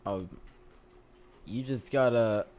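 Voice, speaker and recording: A man giving casual, muttered, low-key commentary as he plays a video game. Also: takes it slowly, heavy background noise. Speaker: gamer recording a playthrough. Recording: loud game music behind the voice.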